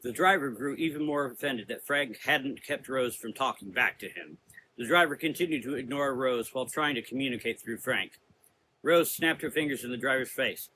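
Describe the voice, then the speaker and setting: Bright-voiced man narrating gothic fiction. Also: mid-range voice, moderate pace, a little hiss or hum, poor audio. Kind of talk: scripted gothic story narration.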